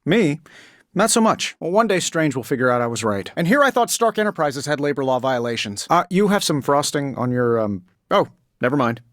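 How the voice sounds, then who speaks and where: An actor voicing a cocky, wisecracking billionaire genius superhero in a video game, with an American accent and a glib, sarcastic, self-assured delivery. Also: male voice, on the high side, very animated, a little fast, fairly bright tone, no echo, studio quality. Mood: casual, wry. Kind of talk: scripted game character dialogue.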